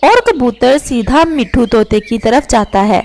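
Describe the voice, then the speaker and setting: A woman's voice: fairly high pitch, lively intonation, measured pace, darkish tone, a little hiss or hum. A woman narrator reading a scripted story for children.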